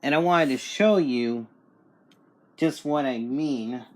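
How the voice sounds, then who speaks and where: A man's voice: mid-range voice, takes it slowly, darkish tone, a little hiss or hum. A man giving a casual, unscripted product review in a plain-spoken, matter-of-fact way. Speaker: radio reviewer.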